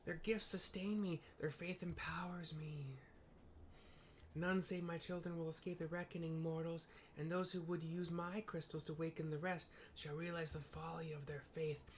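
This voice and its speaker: A man's voice: fairly high pitch, level tone, normal speed, pitched above the performer's own voice, lightly acted. A vengeful wind goddess , put on by a man narrating the game, speaks in a raised, haughty tone. She threatens mortals with grand, declaiming lines.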